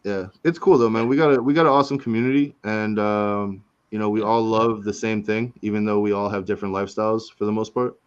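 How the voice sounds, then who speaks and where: A man's voice: fairly low pitch, fairly even intonation, fast, hardly any pauses, clean tone, highs cut off. A man in his forties hosting a casual live chat, talking in a loose, rambling way and often tagging his sentences with "right?" and "man". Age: 40s. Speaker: host.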